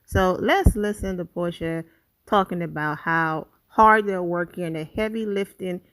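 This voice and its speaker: A woman's voice: lowish voice, a little slow, a bit bright. A woman hosting a celebrity gossip channel, giving chatty, emphatic commentary straight to her viewers. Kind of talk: casual commentary to camera.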